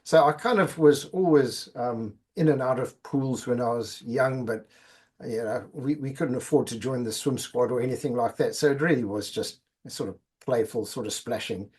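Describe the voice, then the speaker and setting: Husky, halting middle-aged man. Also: mid-range voice, darkish tone. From Zimbabwe. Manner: halting, repeats words often. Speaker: podcast guest. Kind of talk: casual interview chat.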